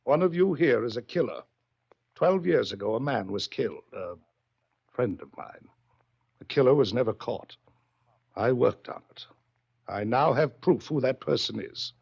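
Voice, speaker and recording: An actor playing a wealthy, smugly menacing yacht owner in a 1940s radio drama, taunting with theatrical pauses and drawn-out words. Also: male voice, medium pitch, lively intonation, vocal fry, a little mellow, breathy edge. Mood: coolly accusing. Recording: muffled old-time radio recording.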